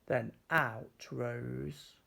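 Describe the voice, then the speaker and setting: A man reading a children's story aloud as storyteller, deliberately and with dramatic pauses. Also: mid-range voice, fairly even intonation, gravelly, pushed and bright.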